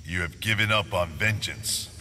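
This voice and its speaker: An actor playing a stern, brooding ninja warrior in a video game, speaking gravely and in measured phrases. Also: male voice, deep voice, lightly acted. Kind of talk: video game dramatic acting. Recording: long reverb, loud background noise.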